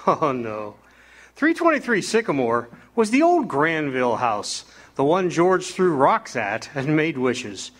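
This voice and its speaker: A man's voice: on the high side, big pitch swings, measured pace, a bit bright, exaggerated voice. A man playing a kindly senior angel who narrates the story in an amateur stage radio drama, in a folksy storytelling manner.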